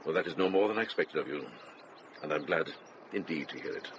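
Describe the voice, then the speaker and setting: An actor playing a kindly, shrewd older monk, speaking in a measured, courteous, gently wry way in a radio drama. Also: male voice, rumbling low, dull audio, slight background noise. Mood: warm courtesy. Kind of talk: British radio drama acting.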